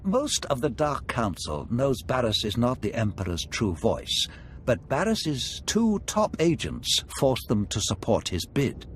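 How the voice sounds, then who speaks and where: Theatrical, scheming Sith lord, swooping delivery. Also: male voice, medium pitch, big pitch swings, a little slow, a little hiss or hum. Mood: sly, conspiratorial. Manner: urbane, theatrical, savoring words. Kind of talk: video game voice acting.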